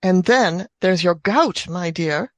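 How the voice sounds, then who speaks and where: An actress playing a planter's elderly wife in a radio play, dry, terse and genteel. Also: female voice, fairly low pitch, slow pace. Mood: soothing.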